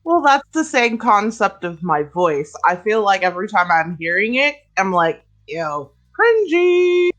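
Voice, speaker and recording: A woman's voice: mid-range voice, sing-song, unhurried, hardly any pauses, fairly bright tone, dull audio. A woman co-hosting a podcast, chatting casually and teasing with crude jokes. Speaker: podcast co-host. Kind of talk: casual chat.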